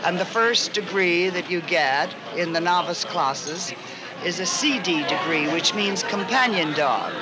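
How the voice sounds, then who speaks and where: A woman giving a formal explanation in a measured, precise way, as a club president. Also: on the low side, lively intonation, crowd noise. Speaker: dog club president being interviewed. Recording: noisy old field recording.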